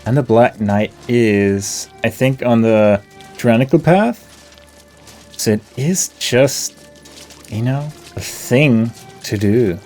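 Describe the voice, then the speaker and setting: A man's voice: medium pitch, slow, warm tone. A man casually commenting on his own gameplay, thinking aloud in a laid-back way and chuckling now and then. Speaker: gameplay video host. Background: game music.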